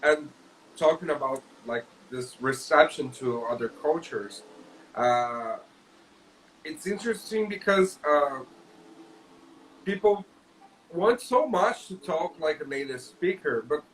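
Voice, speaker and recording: A man's voice: a little high, lively intonation, slow pace, long pauses, a bit bright, slight background noise, poor audio. A man from Brazil with a Brazilian accent, co-hosting a casual chat on a video call and often restarting his sentences. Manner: hesitant, many restarts. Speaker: co-host.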